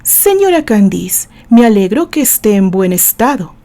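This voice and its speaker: A woman's voice: mid-range voice, very animated, moderate pace, warm tone. A Mexican woman voicing a hostile female lawyer who questions in a pointed, mock-polite, insinuating way in an audio story. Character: hostile female lawyer, sarcastic and probing in court.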